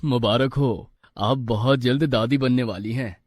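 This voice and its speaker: Calm village doctor played by a man. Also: mid-range voice, unhurried, dry recording, natural voice. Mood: congratulatory, advising.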